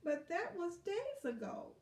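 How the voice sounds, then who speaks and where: A woman's voice: very high voice, sing-song, slow pace, darkish tone, pitched above the performer's own voice, exaggerated voice. A woman voicing a sad little storybook ladybug, tearful and pleading for help. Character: sad, sniffling little ladybug, female, worried about her friend. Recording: roomy echo, distant mic.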